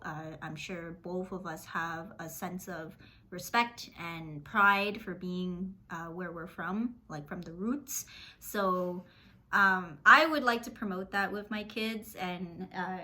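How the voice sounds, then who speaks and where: A woman's voice: fairly low pitch, sharp tone. A young woman in her twenties, from Canada, chatting casually as an interview guest. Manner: chatty, many fillers.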